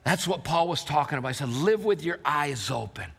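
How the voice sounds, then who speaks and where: Impassioned preacher, bright voice. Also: male voice, fairly high pitch, expressive, a little fast, vocal fry, husky. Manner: swings from loud impassioned shouting to quiet hushed telling. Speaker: pastor. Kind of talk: sermon storytelling. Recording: long hall reverb.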